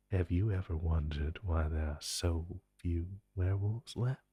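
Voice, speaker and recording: A man's voice: low-pitched, slightly rough, no echo, studio quality, full character voice. A man playing an ancient, possessive telepathic hive-mind entity in an intense audio roleplay, speaking huskily with theatrical, condescending deliberation.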